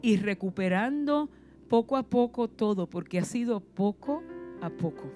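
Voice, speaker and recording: A woman's voice: mid-range voice, animated, measured pace, dark tone, soft background music. A woman preacher with a Puerto Rican accent, delivering a passionate sermon full of rhetorical questions and sudden emphasis. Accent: Puerto Rican Spanish. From Puerto Rico.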